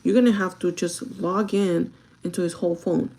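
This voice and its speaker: A young woman with a gravelly, groggy voice chats casually and directly to her viewers, giving advice in an informal vlog style. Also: fairly low pitch, steady tone, normal speed, warm tone. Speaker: vlogger chatting while doing makeup.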